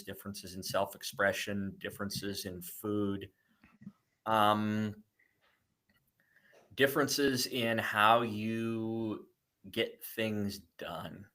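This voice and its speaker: A middle-aged man from the United States speaks as a guest in a conversational interview. His voice sits a little low but sounds bright and forceful, and he stumbles through frequent restarts and repeated words. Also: steady tone, slow pace.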